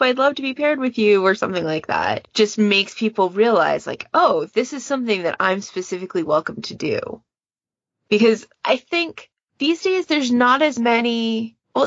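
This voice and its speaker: A woman's voice: on the low side, creaky, no room sound, muffled recording. A woman in a casual podcast discussion, talking in a chatty, discursive way and acting out little quotes as examples. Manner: chatty, discursive, illustrates points with imagined quotes. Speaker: podfic challenge moderator.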